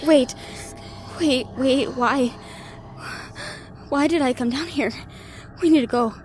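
A young woman creeps through an asylum in this horror audio drama, stammering with nerves, as an actress plays her. Her voice sits a bit high and comes slow and careful. Steady background noise runs underneath. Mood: panicked. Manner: nervous, stammering. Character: frightened young woman exploring a haunted asylum. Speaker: voice actor.

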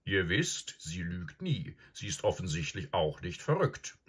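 A grave old professor acted out by a man, low and husky, calm and probing. He ponders each point with absent-minded hums, as in a storybook reading. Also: exaggerated voice. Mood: calm, logical. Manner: deliberate, pondering, with hums and murmurs. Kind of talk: audiobook character acting.

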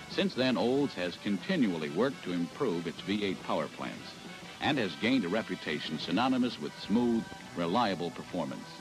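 A man's voice: low-pitched, rough recording. An American man narrating a scripted 1970s promotional sales film in a polished announcer's delivery. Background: music.

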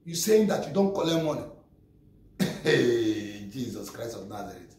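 A man's voice: medium pitch, very animated, measured pace, a little mellow. A middle-aged man with a Nigerian accent, ranting straight to camera in a small room, emphatic and repetitive, often stumbling over repeated words. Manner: emphatic, repetitive, stammering restarts, gesturing. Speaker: vlogger. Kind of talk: impassioned direct-to-camera rant. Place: small plain room indoors. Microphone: camera a few steps away. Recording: echoey room, voice distant from mic.

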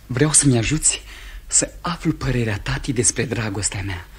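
An actor playing a lovestruck, impetuous young gentleman in an old radio play, ardent and declamatory. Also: mid-range voice, lightly acted. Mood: aggrieved, resolute.

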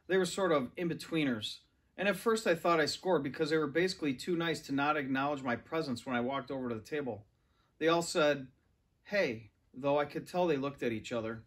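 Male, thirties to forties, slightly higher-pitched voice, reading a kids' novel aloud at home. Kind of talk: children's novel read-aloud. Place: large furnished living room, indoors. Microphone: camera or phone a few metres away.

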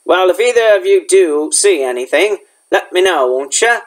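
A man voicing a gruff, protective forest guide in a storybook, with blunt, folksy, ungrammatical speech. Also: high-pitched, lively intonation, moderate pace. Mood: grumbling. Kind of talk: storybook character voice.

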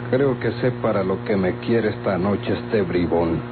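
Stern, suspicious lawyer, Mexican accent, radionovela delivery, thin old recording with music. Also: male voice, low-pitched, unhurried. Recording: tinny vintage radio recording with hum, music.

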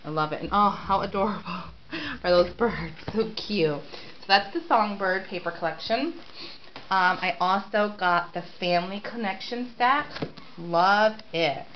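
A woman's voice: medium pitch, a little slow. A congested, sniffling woman gushing over craft paper she bought, filming close-up on a handheld camera at home. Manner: gushing, repeats "so cute" and "I love it". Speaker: crafting vlogger. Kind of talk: casual product haul show-and-tell. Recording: dull lo-fi home camera audio with clear hiss.

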